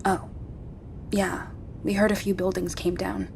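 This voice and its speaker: Tough American ex-marine, noisy scene. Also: female voice, medium pitch, level tone, a little slow, gravelly, darkish tone. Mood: guarded. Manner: blunt, guarded, defiant. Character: tough, defiant female ex-US Marine sergeant, wounded survivor. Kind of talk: audio drama acting.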